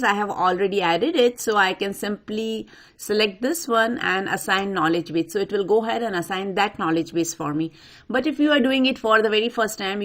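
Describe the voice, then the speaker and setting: A woman presenting an unscripted software tutorial, talking the viewer through each step in an instructional way. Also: medium pitch. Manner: instructional, addresses viewer directly. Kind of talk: step-by-step screen walkthrough, unscripted.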